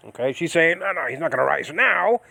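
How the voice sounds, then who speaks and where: A man's voice: gravelly, bright, forceful tone, slightly rough, no echo. Rambling man teaching scripture. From United States. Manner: rambling, halting, lifts pitch and volume when reading scripture. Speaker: Bible teacher and ministry host. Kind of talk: informal Bible study talk. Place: home in the Philippines.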